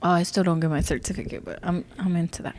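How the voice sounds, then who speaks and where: A young woman, a podcast guest chatting casually, animated and slangy, mixing English and Spanish. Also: lowish voice, quick pace. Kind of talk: casual chat.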